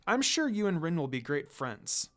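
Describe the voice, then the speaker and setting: A man voicing an affectionate young woman in a romantic story, with a soft, earnest manner. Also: fairly high pitch, sing-song, moderate pace. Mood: warm, happy. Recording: music under the voice.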